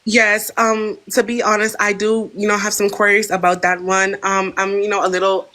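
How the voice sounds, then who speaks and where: A woman's voice: medium pitch, flat delivery, moderate pace, pushed and bright. A woman from Florida, calling in to a livestream for a comic job interview, talking in a hyped, chatty way full of fillers. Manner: hyped, chatty, fills with "you know". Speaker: caller.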